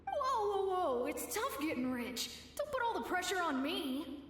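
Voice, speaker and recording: A woman's voice: extremely high pitch, big pitch swings, a little slow, reverberant, long reverb tail, far from the mic, background noise, full character voice. A woman voicing a boastful little boy who wants to get rich, heard in a flashback. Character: brash little boy dreaming of riches. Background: game music.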